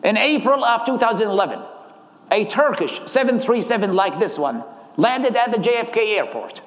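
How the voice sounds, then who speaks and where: A man in his thirties from Iraq with an Iraqi accent, giving a sermon and personal testimony. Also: high voice. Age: 30s. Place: large hall, live talk. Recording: echoey hall with long reverb.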